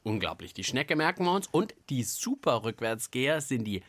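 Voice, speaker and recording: A man co-hosts a children's podcast about science. He talks casually, with a very animated, varied pitch. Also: normal speed. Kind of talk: casual chat.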